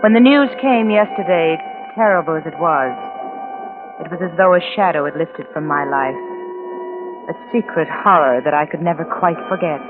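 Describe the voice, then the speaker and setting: A haunted, dread-filled woman voiced by an actress confides gravely in a theatrical 1940s radio play. The sound is narrow and muffled like an old broadcast, with music clearly playing underneath. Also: sits low, normal speed, lightly acted. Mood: haunted, fearful. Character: haunted woman dreading reunion with a past acquaintance. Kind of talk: 1940s radio drama first-person narration.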